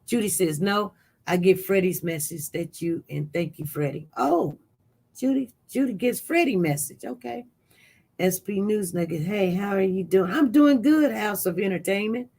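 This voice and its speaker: A chatty live-stream host talks casually through the news and reads viewer comments aloud. The voice keeps leaping up high and loud when reacting, then dropping back to a low mutter. The talk rambles, with many restarts. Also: moderate pace, vocal fry. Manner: animated, voice jumps up loud when reacting to chat. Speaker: livestream news host reading viewer chat.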